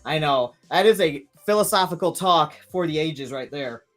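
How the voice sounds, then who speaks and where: A man's voice: fairly high pitch, animated, normal speed, fairly bright tone, soft background music. A man in his thirties hosting a live stream, chatting casually and rambling with many restarts while reading viewer comments. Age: 30s.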